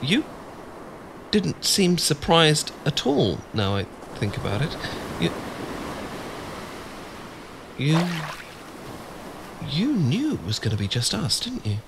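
A man's voice: fairly high pitch, wildly swooping pitch, heavily acted. Flustered, stammering young British man acted out by a man. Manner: stammering, hesitant, halting. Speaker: audio roleplay performer. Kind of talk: ASMR boyfriend roleplay acting. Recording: clear background ambience noise.

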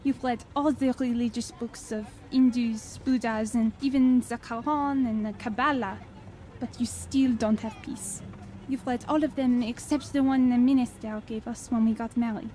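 A woman's voice: on the high side, fairly even intonation, moderate pace, warm tone, close to an everyday voice. An actress playing a lonely, earnest young wife and mother in an audio drama, speaking with feeling. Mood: earnest, questioning.

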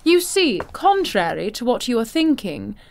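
An actress playing an imperious, cruel older madame in a fantasy audio drama, haughty, silky and condescending. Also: female voice, on the high side, sing-song, moderate pace, creaky, a little hiss or hum. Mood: silky menace.

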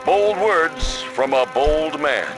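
An actor playing a proud, upbeat 1950s American newsreel announcer with a grandiose, emphatic delivery. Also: male voice, a little high, animated, slow. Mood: grandly upbeat. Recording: music behind the voice.

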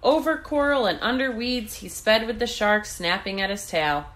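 A woman reading a children's storybook aloud in an expressive, deliberate way. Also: a little high. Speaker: read-aloud host.

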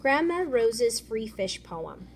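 Female primary teacher, animated high voice, instructive storybook read-aloud, faintly noisy recording. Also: slow. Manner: expressive, instructive. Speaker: fourth grade teacher reading aloud. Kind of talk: children's picture book read-aloud.

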